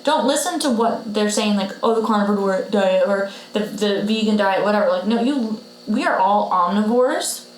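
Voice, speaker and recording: A woman's voice: mid-range voice, normal speed, few pauses. Emphatic woman giving blunt advice. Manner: emphatic, blunt, repeats key points. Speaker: podcast co-host, patient. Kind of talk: casual health advice chat. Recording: roomy echo with faint noise.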